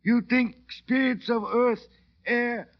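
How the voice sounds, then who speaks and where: High-voiced, slow, menacing voodoo priest. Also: male voice, steady tone, heavily acted. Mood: ominous, unforgiving. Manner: slow, ominous, incantatory, clipped grammar. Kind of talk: 1940s-50s radio drama acting. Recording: dull old radio recording.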